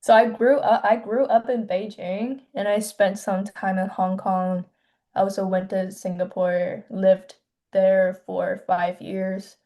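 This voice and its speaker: Soft-spoken young woman from China. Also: mid-range voice, level tone. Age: 20s. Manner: soft-spoken, hesitant, many pauses and restarts. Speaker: filmmaker guest. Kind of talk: casual interview.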